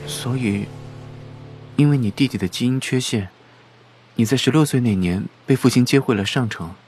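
An actor playing a shy, eager, soft-hearted young shop worker who speaks hesitantly in an audio drama. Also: male voice, mid-range voice, moderate pace, natural voice. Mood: curious. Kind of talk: Chinese audio drama acting. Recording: faint music behind the voice.

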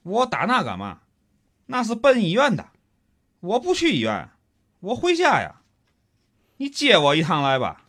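Male actor as pushy stranded commuter: Tianjin accent, high lively voice, complaining and threatening, comic radio play. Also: unhurried, long pauses, exaggerated voice. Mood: whiny, insistent. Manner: complaining, insistent, colloquial. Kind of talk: comic radio drama acting.

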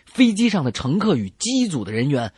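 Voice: mid-range voice, very animated, fairly quick, a little mellow, husky. A comedy radio host telling scripted jokes as banter, with theatrical exclamations and questions. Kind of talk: scripted comic banter and joke storytelling. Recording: roomy echo with music behind.

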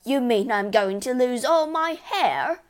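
A woman voicing a blustering, indignant middle-aged father in a storybook, shouting in outrage and panic. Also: on the low side, big pitch swings, measured pace. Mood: alarmed.

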